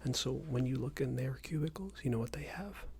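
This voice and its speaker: A man doing an ASMR roleplay as a passive-aggressive company boss, speaking in a soft, breathy whisper with hesitant, patronising phrasing. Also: mid-range voice, fairly quick, slight background noise. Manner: hesitant, repetitive, patronising workplace talk. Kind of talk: ASMR roleplay, improvised monologue.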